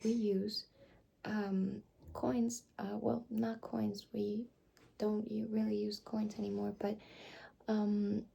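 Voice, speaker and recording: Young female ASMR whisperer, gravelly and breathy, soft and halting. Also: mid-range voice, level tone, lo-fi sound. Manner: soft whispering, hesitant with many fillers. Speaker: ASMR creator.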